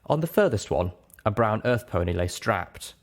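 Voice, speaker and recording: A man with a fairly low voice narrates an audiobook. He reads scripted first-person story prose. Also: expressive, moderate pace.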